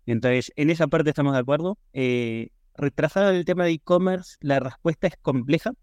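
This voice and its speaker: A man with an Argentine Spanish accent answers as a podcast guest in a conversational, measured, explanatory way. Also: a little high, a little mellow, no echo, studio quality. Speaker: podcast guest, e-commerce professional.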